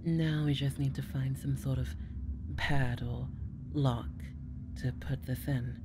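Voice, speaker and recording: A woman's voice: very deep voice, fairly even intonation, takes it slowly, noisy background. Woman playing a teasing, bluffing girlfriend. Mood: playful.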